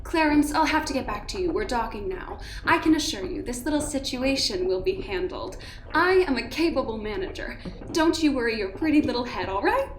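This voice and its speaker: Woman acting a high-voiced, breezy, condescending boss lady. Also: animated, fairly quick, echoey room, slight background noise, lightly acted. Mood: placating, condescending. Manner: airy, patronising, theatrical. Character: breezy, condescending female manager, polished and self-assured. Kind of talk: audio drama acting.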